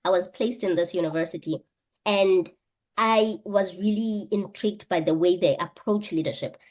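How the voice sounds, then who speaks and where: A woman's voice: lowish voice, moderate pace, a little mellow. A woman, answering an interview question earnestly, thinking aloud with rhetorical questions and frequent restarts. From South Africa. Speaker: guest, PhD candidate and lecturer.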